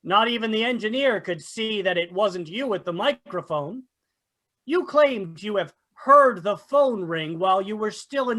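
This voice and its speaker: A woman's voice: mid-range voice, bright, forceful tone. A woman playing a shrewd, formal police inspector in an old-time radio mystery. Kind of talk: golden-age radio mystery acting.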